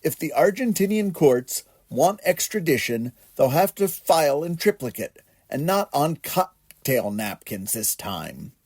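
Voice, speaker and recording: A man acts out an exasperated raven lawyer from a storybook, brusque and self-important. The delivery is clipped and raised a little in pitch, with lively swings. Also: measured pace, breathy edge, no echo, heavily acted. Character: busy, exasperated male raven barrister on a call. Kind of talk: children's storybook character voice.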